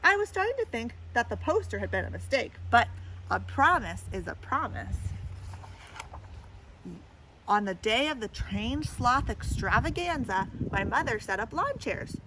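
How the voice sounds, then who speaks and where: Female storybook reader, higher-pitched and very expressive, chatting to kids between lines; some background noise. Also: normal speed, poor audio. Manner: animated, addresses young listeners with questions and comments. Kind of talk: read-aloud children's picture book with asides to listeners.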